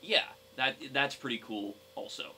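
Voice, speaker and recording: A young man co-hosting a casual gaming discussion, speaking in a hesitant, halting way. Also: mid-range voice, expressive, sharp tone, a little hiss or hum. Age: young adult. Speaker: podcast co-host.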